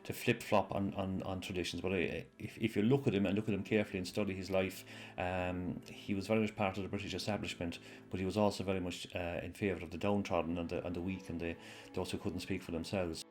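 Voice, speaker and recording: A man's voice: sits low, level tone, quick pace, few pauses. An Irish man speaking as a historian in an interview, reflective and often rephrasing himself as he goes. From Ireland. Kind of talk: interview commentary. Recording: echoey room.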